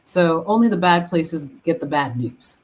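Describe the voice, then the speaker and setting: Low-voiced woman minister. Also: animated, a little mellow, low-quality recording. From Haiti. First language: French. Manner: earnest, halting with fillers and restarts. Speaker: guest minister. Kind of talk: casual interview chat.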